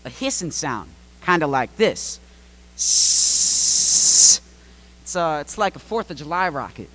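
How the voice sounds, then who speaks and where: An actor as a folksy, rambling farmer, swooping pitch. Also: big pitch swings, takes it slowly, bright, forceful tone, exaggerated voice. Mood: drowsy, rambling. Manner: hesitant, rambling, folksy rural phrasing. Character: slow, rambling, folksy male farmer, easily sidetracked. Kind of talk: radio play witness interview.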